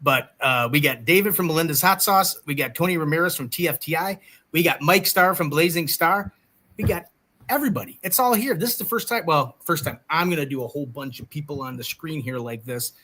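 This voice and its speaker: A man's voice: fairly high pitch, expressive, fairly quick, sharp tone. A man co-hosting a casual livestream chat show, joking and self-deprecating in banter with his co-host.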